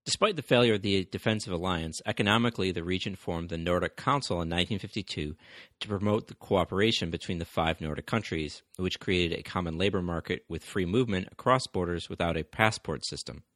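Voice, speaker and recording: A man's voice: low voice, brisk, fairly bright tone, dry recording, studio quality. A man hosting a history podcast, reading a scripted narration steadily, with the odd stumble and restart. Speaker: podcast host.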